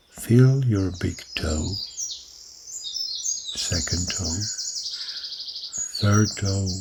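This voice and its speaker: A man guides a body-scan meditation in a deep, low voice with a gravelly edge. He speaks extremely slowly and softly, leaving long pauses. Birds chirp clearly in the background throughout. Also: background noise. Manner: slow, soft, calm guiding delivery with long pauses. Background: recorded birdsong from woods.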